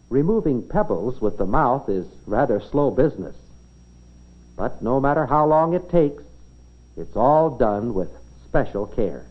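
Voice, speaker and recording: An American man reads scripted 1950s educational film narration, explaining things simply like a storyteller for children. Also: medium pitch. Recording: dull, band-limited 1950s film soundtrack.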